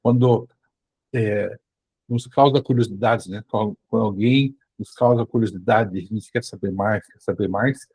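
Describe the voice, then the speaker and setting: A man's voice: on the low side, unhurried, darkish tone, slightly rough, no echo. A man with a Brazilian accent hosting a casual interview, circling into his questions with repeated starts. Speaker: interviewer, host.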